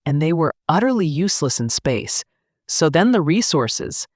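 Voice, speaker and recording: A woman's voice: low-pitched, big pitch swings, normal speed, a little mellow, no room sound, clean studio sound. A woman playing a polite, bookish teenage girl novelist with a formal, matter-of-fact way of speaking, in an anime-style dubbed story. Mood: analytical, blunt.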